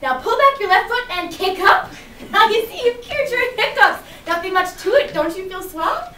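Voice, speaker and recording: A young woman with a very high, swooping voice performs children's verse with exaggerated showmanship. She is heard from far off in an echoey room with noise behind her. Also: expressive, normal speed, bright, forceful tone. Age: young adult. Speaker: student readers theater performer. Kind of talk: theatrical poetry recitation for children. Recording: distant mic, echoey room, background noise.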